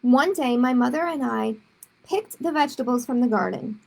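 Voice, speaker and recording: A woman's voice: on the high side, normal speed, creaky. A woman reading a children's story aloud expressively, with short explanatory asides to young listeners.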